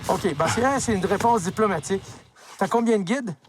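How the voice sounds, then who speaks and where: A man's voice: high voice, fairly quick, background noise. A man hosting a snowmobile magazine, narrating and casually chatting with guides. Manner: casual, chatty, tosses questions to guests. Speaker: show host. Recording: loud music under the voice.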